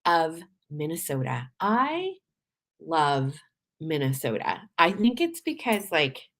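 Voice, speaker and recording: A woman from Canada hosts a live show in a chatty, animated way. Her voice sits a bit low for a woman and swings widely in pitch. It sounds a little worn from days of talking. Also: slow pace. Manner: chatty and animated, frequent laughs and restarts. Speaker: podcast host. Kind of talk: casual live-stream monologue.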